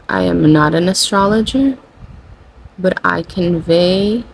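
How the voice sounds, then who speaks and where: A tired, low-energy spiritual channeler, a native Spanish speaker, talking casually and rambling, often saying "you know" and correcting themself. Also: creaky, slight background noise, poor audio. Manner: rambling, self-correcting, with frequent "you know" and light laughter. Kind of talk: casual spiritual vlog monologue.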